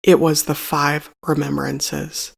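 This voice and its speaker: A meditation guide reading a scripted narration in a measured, solemn and instructive manner. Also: medium pitch, little pitch movement, gravelly.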